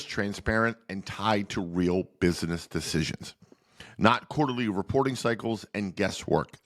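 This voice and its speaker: Lively, low-voiced male podcast host. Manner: lively, self-deprecating about name pronunciations. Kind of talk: interview hosting.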